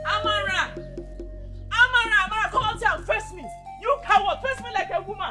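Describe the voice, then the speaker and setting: A woman playing a loud indignant young woman. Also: high-pitched, sing-song, moderate pace, over music. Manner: loud, complaining, demanding.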